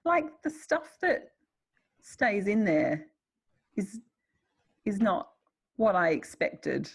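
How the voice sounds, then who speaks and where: A woman's voice: mid-range voice, big pitch swings, slow, vocal fry, breathy edge. A middle-aged woman with an Australian accent chatting casually and candidly in a wry, self-deprecating way. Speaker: writer in conversation.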